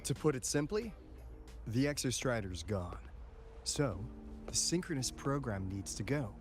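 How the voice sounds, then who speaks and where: A breezy, very animated game character. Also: male voice, medium pitch, a little slow, soft background music. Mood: wry, candid. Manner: casual, teasing, expressive. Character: breezy, wry adult male academy insider briefing an auditor. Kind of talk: video game voice acting.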